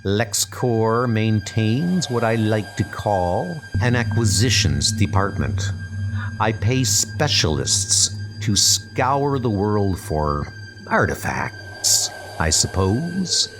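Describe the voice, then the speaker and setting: A man playing a cold, smug billionaire supervillain, narrating his scheme in a measured comic book dub monologue. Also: lowish voice, huge pitch swings, full character voice. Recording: music bed under the voice.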